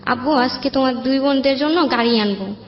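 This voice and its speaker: Higher-pitched Bangladeshi woman, echoey recording. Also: a little fast. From Bangladesh, raised in Dhaka. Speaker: guest telling life story. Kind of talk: personal storytelling in an interview. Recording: muffled, echoey room with music bed.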